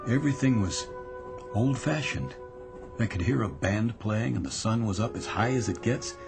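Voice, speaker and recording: An actor playing a wistful, troubled train commuter in a radio drama, speaking in a halting, hesitant way. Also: male voice, lowish voice, animated, vocal fry. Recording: radio drama with music underneath.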